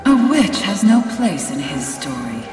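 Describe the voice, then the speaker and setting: A woman's voice: medium pitch, big pitch swings. An actress playing a sorrowful, resolute exiled witch in a video game, grave and weary, with solemn, formal delivery. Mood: grave, resigned. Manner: solemn, slow, measured delivery with formal wording. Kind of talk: video game cutscene voice acting. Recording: music behind the voice.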